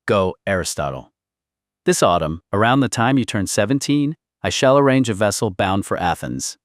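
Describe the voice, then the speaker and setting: A man's voice: on the low side, lively intonation, normal speed, dry recording, clean studio sound, lightly acted. A man voicing a solemn, world-weary older guardian in an audiobook, speaking formally and decisively. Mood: grave, resigned.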